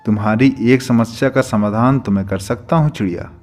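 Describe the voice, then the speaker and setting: A man's voice: medium pitch, moderate pace, a little mellow, faint music behind, lightly acted. A man playing a solemn, kindly forest sage in a cartoon story, grave and reassuring. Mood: calm, kindly. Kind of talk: Hindi cartoon story dubbing.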